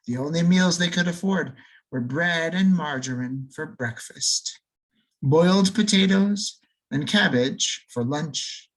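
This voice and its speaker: A man reading a children's storybook aloud, slowing down and lingering on key words, with free swings in pitch and loudness for emphasis. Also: low-quality recording. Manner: expressive, lingers and slows on key words for effect. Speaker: audiobook reader.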